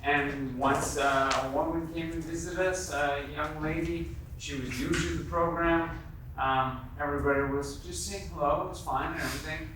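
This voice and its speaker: A man's voice: a little high, steady tone, moderate pace. Hesitant man in a noisy, echoing room. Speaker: meeting participant sharing personal experience. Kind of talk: casual personal testimony. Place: convention meeting room, indoors. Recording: very echoey room, distant mic, clear noise.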